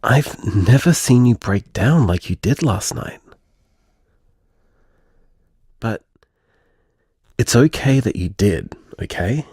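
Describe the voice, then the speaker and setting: A man playing a tender, protective boyfriend in an audio drama, gentle, earnest and reassuring. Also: medium pitch, lively intonation, a little slow, slightly rough, no room sound, studio quality, full character voice. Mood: tender, worried. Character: tender, protective boyfriend comforting his hurt partner. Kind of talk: audio drama voicemail monologue.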